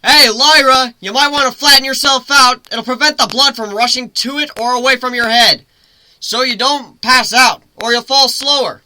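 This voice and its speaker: A man voicing a cocky, teasing male griffin with a loud, playful, taunting delivery in a dramatic story reading. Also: very high-pitched, normal speed, no echo, voice pushed up, heavily acted, rough recording. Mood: gleeful teasing. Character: cocky teasing male griffin, gleeful daredevil sky sailor.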